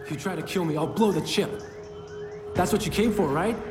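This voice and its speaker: A man's voice: high voice, brisk, natural voice. An actor playing a cornered, desperate male defector in a video game, agitated, defiant and threatening. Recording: echoey hall, loud music.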